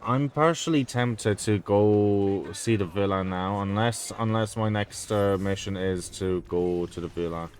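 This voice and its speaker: A man's voice: fairly low pitch, measured pace, few pauses, darkish tone, slight background noise. A man whose first language is Polish, giving rambling, hesitant gameplay commentary with frequent fillers and casual swearing. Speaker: gameplay video creator.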